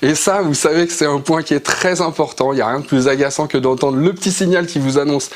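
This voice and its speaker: A man's voice: a little high, fast talker. A man with a French accent presenting motorcycle gear in an informal, explanatory and enthusiastic way, like a casual product review.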